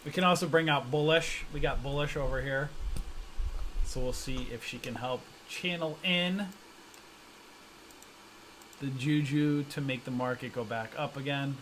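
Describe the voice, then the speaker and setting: A man's voice: mid-range voice, unhurried, long pauses, a bit bright, a little hiss or hum, poor audio. A man hosting a stock trading livestream in casual, joking chat, reading out viewer comments and reacting loosely with slang.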